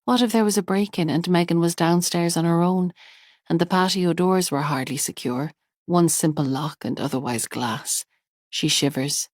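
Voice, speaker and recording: A woman narrating a thriller audiobook in a measured, tense storytelling manner. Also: fairly low pitch, darkish tone, no echo, studio quality.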